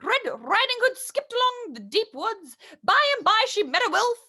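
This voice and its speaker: A woman's voice: very high-pitched, very animated, moderate pace, bright, forceful tone. A woman hosting a children's story time, reading a storybook in a theatrical, exclamatory way and addressing young listeners directly.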